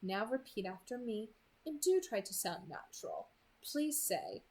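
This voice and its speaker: A woman voicing a pleasant, coolly amused male kidnapper in an audiobook, polite and controlling, with a light, smooth delivery. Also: medium pitch, big pitch swings, measured pace, darkish tone, slight background noise. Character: pleasant, softly menacing man, coolly amused kidnapper on phone.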